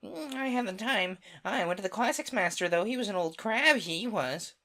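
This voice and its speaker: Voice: medium pitch, expressive, moderate pace, pushed and bright, pitched above the performer's own voice. A reader voicing an impatient, scolding male Gryphon in a storybook reading, blustering at a child.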